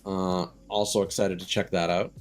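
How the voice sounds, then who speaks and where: Low-voiced man chatting on stream. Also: level tone, normal speed, faint music behind. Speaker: game live streamer. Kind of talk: casual live stream chat.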